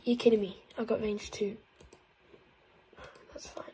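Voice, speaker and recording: A woman with a blocked nose and a croaky voice, giving excitable gameplay commentary with frequent whispered asides. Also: mid-range voice, unhurried, a little hiss or hum. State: congested, croaky voice. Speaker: gaming YouTuber.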